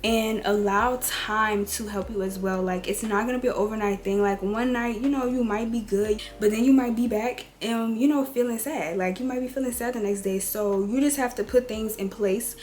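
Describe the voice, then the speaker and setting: A woman in her twenties with a raspy, rough voice from a cold, giving casual advice straight to her viewers. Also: a little high, steady tone, normal speed, few pauses, a little mellow. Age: 20s. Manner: chatty, direct, addresses viewers as y'all and girl. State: hoarse from a cold. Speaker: beauty and lifestyle vlogger. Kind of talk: casual girl-talk advice.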